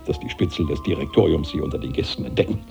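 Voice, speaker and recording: An actor playing a suave banker of revolutionary Paris in a German radio play, a courtly, smooth-tongued schemer. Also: male voice, very deep voice, rapid-fire, over music, full character voice. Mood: scheming.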